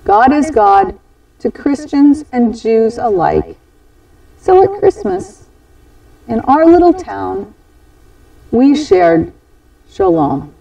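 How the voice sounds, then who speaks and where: A woman tells a scripted inspirational story at a slow, deliberate pace. Her voice is soft-edged and mellow, with animated rises and falls. The microphone sits at some distance from her. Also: on the high side, vocal fry.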